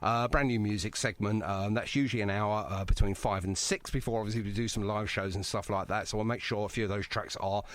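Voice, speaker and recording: A man's voice: on the low side, steady tone, brisk, few pauses. A man hosting a radio interview in a chatty, jokey, effusive way. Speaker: radio show host. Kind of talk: casual, bantering radio interview.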